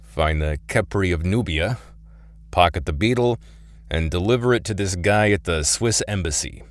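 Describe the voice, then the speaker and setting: A man's voice: deep voice, moderate pace, no echo, natural voice. A man acting in an audio play as a wry, casual middle-aged thief. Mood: wry, curious. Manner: casual, wry, hesitant asides. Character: wisecracking middle-aged thief recruited to time-travel.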